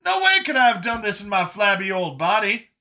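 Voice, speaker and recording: An eager, folksy old-timer in a young man's body acted by a male narrator, pitched well up from the reader's own voice. The tone is very bright and forceful, and he rambles on like a scrappy fugitive in a sci-fi audiobook. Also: sing-song, brisk, exaggerated voice. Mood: upbeat, chatty. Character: older man in youthful body, eager scrappy fugitive survivor.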